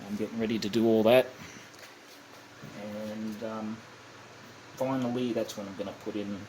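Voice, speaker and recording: Low-pitched man over clear background noise. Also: flat delivery, darkish tone. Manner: halting, thinking aloud, self-correcting. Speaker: hobbyist demonstrating a kit build. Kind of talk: casual unscripted how-to narration. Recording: clearly noisy amateur home recording.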